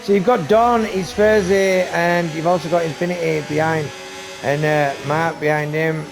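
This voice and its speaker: Commentator: gravelly, fairly high-voiced man calling a sim race, voice fading, car engines loud underneath. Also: normal speed. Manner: excitable, talks to viewers, rising to high bursts on action. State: losing his voice. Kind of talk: live sim racing commentary. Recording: noisy stream with engine sound. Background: race car engine noise.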